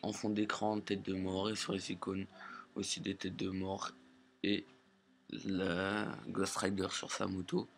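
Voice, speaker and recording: Low, monotone man with a creaky voice. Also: a little slow. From Portugal or Portuguese family. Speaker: amateur video presenter. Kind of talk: casual product demonstration. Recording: faint background noise, amateur recording.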